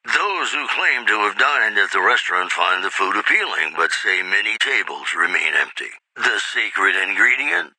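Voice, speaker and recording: An actor playing a suave, romantic radio DJ in a video game, smooth-talking and intimate, with theatrical pauses and emphasis. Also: male voice, low voice, sharp tone, no echo, full character voice, low-quality recording. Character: suave romantic male lounge-style radio host, flirty and sincere. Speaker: radio DJ and newsreader. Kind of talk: retro radio DJ patter and news bulletins.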